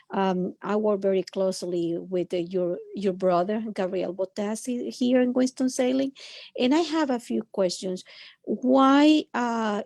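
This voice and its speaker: A woman from El Salvador asking questions as an audience member, halting and searching for words on a video call. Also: mid-range voice, mellow, dry recording.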